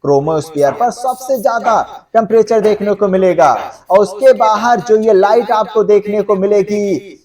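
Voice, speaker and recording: A male coaching teacher with a high-pitched voice, picked up at some distance from the microphone. He explains in a conversational lecture style, addressing students directly and repeating little check phrases as he goes. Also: moderate pace, a little mellow. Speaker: exam-coaching geography teacher.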